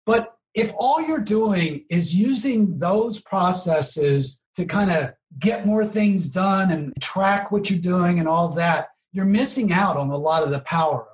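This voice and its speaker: A man explaining things conversationally as an interview guest, emphatic and often checking in with a quick "okay?" or "right?". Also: sits high, rough recording. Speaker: podcast guest, marketing professional.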